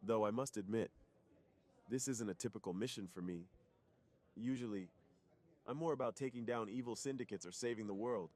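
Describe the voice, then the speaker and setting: A man playing a secretive, polite undercover superhero who is shyly smitten, speaking earnestly and softly in an intimate ASMR roleplay. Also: on the low side, moderate pace, long pauses, natural voice. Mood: apologetic, friendly.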